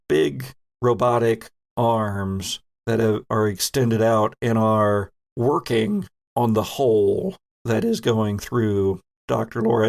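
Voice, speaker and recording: A man narrating a tabletop horror game as game master, descriptive and deliberate, pausing between phrases. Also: mid-range voice, creaky, a little mellow.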